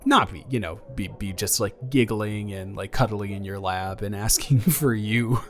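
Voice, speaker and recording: A man's voice: on the low side, moderate pace, faint music behind, lightly acted. A young man playing an awkward, caring college-age ex-boyfriend in an improvised intimate audio roleplay, earnest and stammering as he rambles. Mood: awkward, flustered. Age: young adult.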